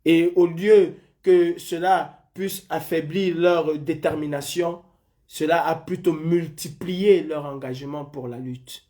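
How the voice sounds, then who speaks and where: A man with a somewhat high voice hosts a live stream and takes on a caller in a heated argument. He lectures insistently and says the same point again and again. He comes from Senegal. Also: steady tone, takes it slowly, mellow. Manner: insistent, lecturing, repeats himself. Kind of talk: heated live call-in debate.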